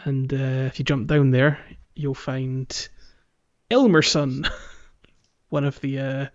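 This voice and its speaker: A dull, very dry close recording of a man with a mid-pitched voice. He co-hosts a casual podcast, explaining things in a halting, conversational way. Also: no echo. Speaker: podcast co-host. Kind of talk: casual game-walkthrough podcast chat.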